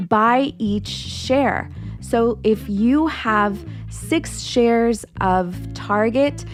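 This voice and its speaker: Female podcast educator, mid-range voice, instructional delivery that addresses listeners directly, music bed underneath. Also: mid-range voice. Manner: instructional, explains terms step by step. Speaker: podcast host, financial educator.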